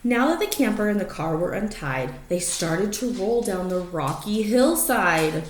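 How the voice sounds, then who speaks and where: A woman reads a rhyming picture book to young children with a very lively, swooping delivery. She takes her time, drawing out key words and pausing for asides and questions. The room adds noticeable echo to her voice. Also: mid-range voice. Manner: animated storyteller, stretching key words, asking prediction questions. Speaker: storybook reader for young children. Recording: roomy echo, faint background noise.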